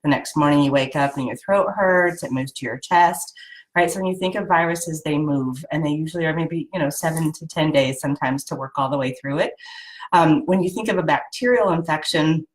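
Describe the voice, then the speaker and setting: A woman's voice: sits low, brisk, low-quality recording. A woman with a head cold and a nasal voice, casually hosting a live video and explaining health tips with lots of ums. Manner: chatty, explanatory, frequent ums and "right?".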